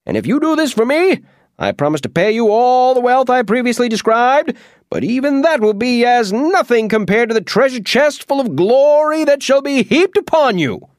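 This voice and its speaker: A man playing a loud, pompous wizard. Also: very high voice, big pitch swings, normal speed, dry recording, clean studio sound, voice pushed up. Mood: flattering. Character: blustering, pompous showman wizard.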